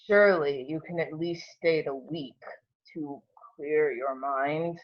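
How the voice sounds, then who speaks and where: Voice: medium pitch, takes it slowly, dull audio, natural voice. An actor playing a cold, controlling old father weakened by a stroke, in an amateur table read. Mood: measured. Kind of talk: amateur at-home table read of a film script.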